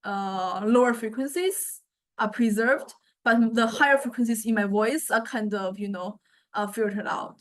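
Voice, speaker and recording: A woman giving an informal software demonstration lecture, hesitantly thinking aloud and searching for words as she explains. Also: a little high, unhurried. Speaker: music technology lecturer.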